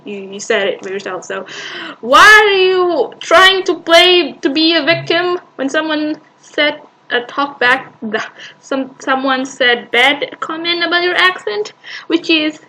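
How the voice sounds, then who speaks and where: A woman with a high, bright, sharp voice rants back at a video she is watching. She sounds exasperated and rambles, stopping and restarting her sentences. A light hiss sits under her voice. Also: steady tone, slow, rough recording. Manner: exasperated, ranting, frequent sighs and restarts. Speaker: reaction video host. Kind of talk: reacting to a played video, arguing with it.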